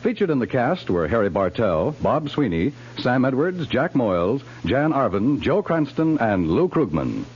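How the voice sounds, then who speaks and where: A man's voice: medium pitch, very animated, moderate pace, hardly any pauses, background noise. A man with an American accent reading scripted announcements in the style of a 1950s radio announcer. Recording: muffled 1950s radio broadcast with music.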